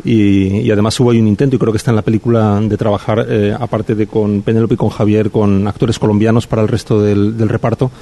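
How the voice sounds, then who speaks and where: A middle-aged man speaks in a low, mellow voice. His delivery is flat and reflective, full of pauses, restarts and little tag questions as he answers at a press event. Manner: thoughtful, hesitant, many pauses and restarts. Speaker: film director. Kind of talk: festival press interview.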